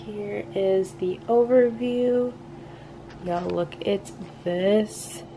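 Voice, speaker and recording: A woman's voice: mid-range voice, extremely slow, music in the background, low-quality recording. A young woman, a college student, chatting casually to her phone camera as she vlogs her day at home and at work. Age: young adult. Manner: chatty, relaxed, trails off softly at times. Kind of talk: casual day-in-the-life vlog. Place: small apartment and office, indoors. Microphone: phone camera held close.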